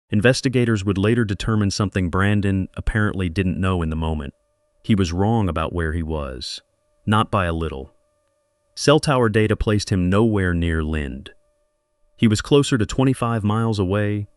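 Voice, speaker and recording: A man narrating a scripted true-crime documentary in measured, dramatic short phrases. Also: deep voice, no room sound.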